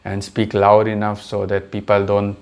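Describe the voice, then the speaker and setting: A man's voice: fairly low pitch, completely flat delivery, moderate pace, a little mellow, smooth. A young man who speaks English as a third or fourth language, with an accent that mixes British, American and Indian English, talking hesitantly to the camera and often stopping to correct himself. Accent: mixed British, American and Indian-influenced English. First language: not English. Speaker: vlogger. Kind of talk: casual talk to camera.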